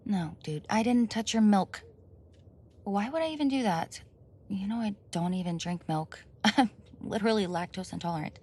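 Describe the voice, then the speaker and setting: A woman's voice: mid-range voice, darkish tone, husky. A woman acting a flustered, defensive young roommate caught off guard in an ASMR roleplay, stammering, indignant and evasive. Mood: defensive, annoyed. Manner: stammering, indignant, evasive, sighs and nervous laughs.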